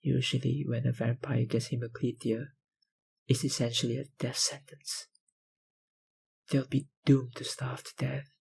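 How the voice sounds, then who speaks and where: An actor playing a possessive, powerful vampire kidnapper in an ASMR roleplay, breathy and husky, with a dominant, condescending manner. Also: male voice, medium pitch, flat delivery, measured pace, darkish tone, heavily acted. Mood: obsessive, explaining. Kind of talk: ASMR roleplay, dramatic monologue.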